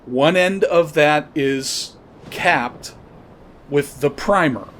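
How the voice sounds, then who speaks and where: Male commentator, higher-pitched voice, opinionated and emphatic solo delivery, with faint rumbling noise on the recording. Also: extremely slow, a bit bright. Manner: emphatic, repeats and spells out points. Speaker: podcast host commentator.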